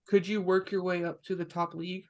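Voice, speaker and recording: Host, male, twenties; high-pitched, curious, stop-start questioning. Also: flat delivery, darkish tone. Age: 20s. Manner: curious, halting questions with restarts. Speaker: podcast host. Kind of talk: casual interview chat.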